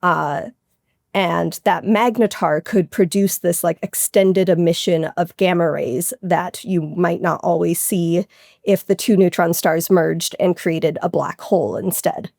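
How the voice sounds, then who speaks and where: A woman's voice: lowish voice, steady tone, measured pace, vocal fry, darkish tone, no echo, studio quality. A woman scientist explaining her research informally and with enthusiasm in a conversational interview. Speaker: guest scientist, astrophysics researcher.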